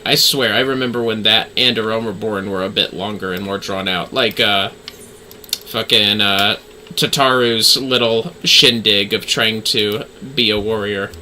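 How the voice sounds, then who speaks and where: A man's voice: lowish voice, steady tone, measured pace, pushed and bright, a little hiss or hum. A man giving casual, rambling livestream game commentary, swearing now and then. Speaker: game streamer.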